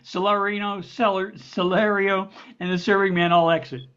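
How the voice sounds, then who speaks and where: Female stage-direction reader for a scripted drama, middle-pitched, slightly dull video call audio. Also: level tone, moderate pace, fairly bright tone, clear, resonant tone. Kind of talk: scripted play reading. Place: online video call reading.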